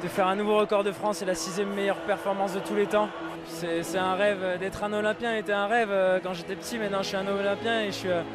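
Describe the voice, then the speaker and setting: A man's voice: high voice, flat delivery, fast talker, a crowd in the background. A man speaking as an athlete in a post-competition interview clip. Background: loud music.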